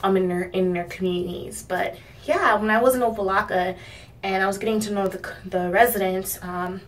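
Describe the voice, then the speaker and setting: A woman's voice: medium pitch, steady tone, moderate pace. A young woman from Miami chats to her viewers in a loose, rambling way, full of ums and little laughs. Her voice sits in a middle range and sounds a little distant from the microphone. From Miami, United States. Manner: chatty, rambling, with frequent fillers and soft laughs. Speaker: vlogger. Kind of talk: casual talk to camera.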